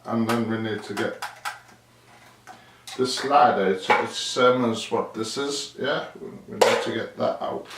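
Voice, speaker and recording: A man giving a casual hands-on demonstration, thinking aloud in a rambling, self-deprecating way. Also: on the low side. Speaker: amateur DIY video maker. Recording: echoey, distant mic with hiss.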